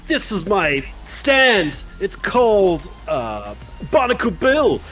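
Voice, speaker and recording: A man's voice: high voice, huge pitch swings, slow, fairly bright tone, faint music behind, heavily acted. A man voicing a melodramatic, boastful anime rival in a game fandub. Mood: showy boasting. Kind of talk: game fandub on live stream.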